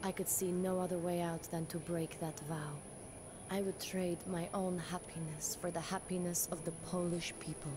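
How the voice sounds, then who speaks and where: A woman playing a young, pious medieval queen who narrates her life in a solemn, measured and wistful way for a historical game. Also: fairly low pitch. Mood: solemn, sorrowful. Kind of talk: scripted dramatic narration of a historical game campaign.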